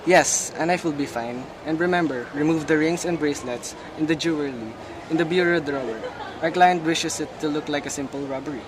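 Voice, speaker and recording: A cold-blooded criminal boss acted by a man issues commands in a fairly high male voice. The delivery is deliberate and businesslike, amateur radio drama with clearly noisy ambience behind it. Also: a crowd in the background, close to an everyday voice. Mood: cold, instructing. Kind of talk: amateur radio play acting.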